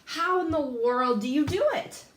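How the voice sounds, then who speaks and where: A woman's voice: high-pitched, measured pace, slight background noise. A young woman hosting a scripted mock talk-show interview in an upbeat, read-from-script manner. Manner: upbeat, reads questions from a script. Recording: echoey room.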